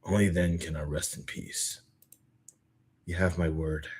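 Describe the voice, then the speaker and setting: A grave veteran assassin, read out by a man, speaking in a low, husky voice. He is formal and fatalistic, a betrayed servant of duty in a dramatic game story. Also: fairly even intonation, measured pace, darkish tone. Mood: solemn, pleading. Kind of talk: dramatic reading of story dialogue.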